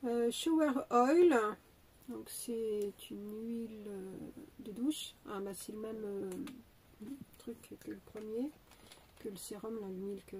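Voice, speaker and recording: A woman's voice: a little high, slow, darkish tone, a little hiss or hum. A young woman with a cold and a stuffy voice, chatting casually as she unboxes beauty products, hesitant and full of fillers and restarts. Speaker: beauty vlogger unboxing an advent calendar.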